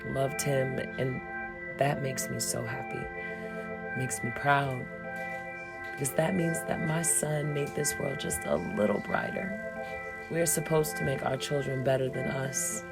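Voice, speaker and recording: A woman's voice: very deep voice, a little slow, creaky, exaggerated voice. An actress playing a loving mother who mourns her son, speaking tenderly in an audio drama monologue. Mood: proud, grateful. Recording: loud music under the voice.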